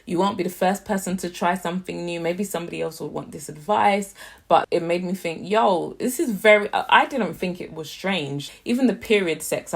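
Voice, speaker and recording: A young British woman casually reviewing a TV series, chatty and slangy with animated asides. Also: lowish voice. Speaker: YouTube TV reviewer.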